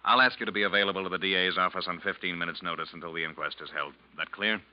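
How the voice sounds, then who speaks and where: An actor playing a stern, sarcastic police detective with a curt, formal, pressing delivery in an old-time radio drama. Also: male voice, deep voice, steady tone, very fast, lightly acted. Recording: muffled old radio recording.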